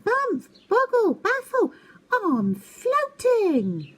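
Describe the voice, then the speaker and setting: A woman voicing a gullible, earnest young male owl in a storybook, excitable and timid. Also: very high voice, extremely slow, voice pushed up, heavily acted. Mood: gleeful hooting.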